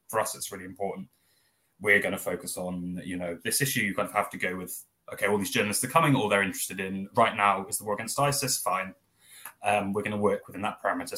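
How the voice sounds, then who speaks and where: A man's voice: lowish voice, sharp tone. A man, giving a long interview answer in a loose, rambling way with many fillers. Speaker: interview guest, press office founder. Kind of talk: casual spoken interview.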